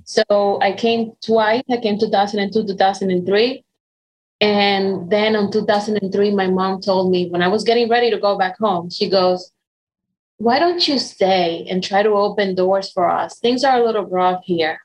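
A woman's voice: mid-range voice, normal speed, rough recording. Dominican-accented woman, earnest storyteller. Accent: Dominican Spanish. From Dominican Republic. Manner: earnest, warm storytelling with frequent um and restarts. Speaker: interview guest. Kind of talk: conversational interview.

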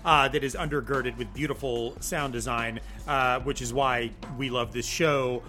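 Guy hosting a podcast intro, bright punchy voice, explains things emphatically, bit of music. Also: medium pitch, fairly even intonation, normal speed. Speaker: podcast co-host, writer. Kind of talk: semi-scripted podcast introduction.

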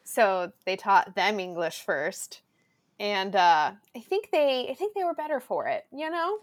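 A woman from Southern California, a podcast guest chatting casually and acting out quotes in a loud, lively way. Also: medium pitch, a little slow. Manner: loud, animated storyteller who acts out quotes.

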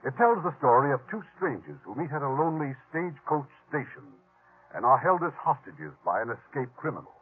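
A man hosting a 1950s radio drama, narrating from a script with a dramatic, deliberate storytelling delivery. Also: medium pitch, very animated, slightly rough. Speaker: host and producer. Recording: tinny vintage radio with music.

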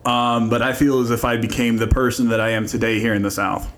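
A man's voice: mid-range voice, little pitch movement, fairly quick, fairly bright tone. A man in his twenties from Illinois, a comedian guesting on a casual podcast, answering with dry humor. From Illinois, United States. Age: 20s.

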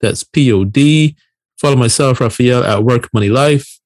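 A man's voice: mid-range voice, moderate pace, no echo. Late-thirties man from Barbados, dry close sound. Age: late 30s. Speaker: podcast co-host. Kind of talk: casual podcast chat.

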